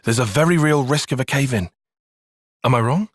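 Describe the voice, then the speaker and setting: An actor playing a guarded, analytical young soldier-tactician in a video game, with formal, bookish phrasing and a dry, prickly edge. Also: male voice, mid-range voice, moderate pace, dry recording, close to an everyday voice. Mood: analytical, measured. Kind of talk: video game cutscene acting.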